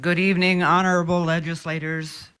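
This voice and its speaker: A woman's voice: on the low side, little pitch movement, brisk, background noise. A middle-aged woman from northern Iowa, a campus minister, giving formal public testimony with the odd folksy aside.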